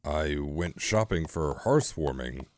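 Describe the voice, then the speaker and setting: A man as a deep-voiced downtrodden pony husband. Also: expressive, a little slow, darkish tone, no room sound, studio quality. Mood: dismayed. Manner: mournful.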